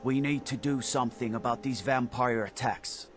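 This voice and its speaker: A man's voice: medium pitch, moderate pace, soft background music, close to an everyday voice. A man voicing a concerned townsman in a fantasy video game.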